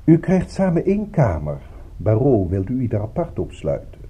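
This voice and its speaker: An actor playing a courteous, coolly polite villain in a radio drama, speaking formally with smooth regret. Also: male voice, lowish voice, huge pitch swings, normal speed, mellow, exaggerated voice. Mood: formal, regretful.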